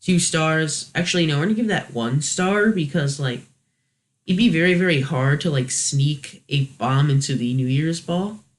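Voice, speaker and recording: A man's voice: fairly high pitch, normal speed. A man hosting a review video with casual, unscripted commentary, hesitant and thinking aloud as he corrects himself. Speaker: host of a video review series.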